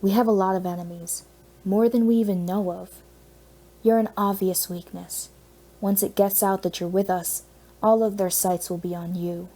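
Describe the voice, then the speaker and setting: Woman as blunt hero guy, audiobook, mellow voice, serious warning tone. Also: mid-range voice, fairly even intonation, normal speed, lightly acted. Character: blunt, serious male superhero.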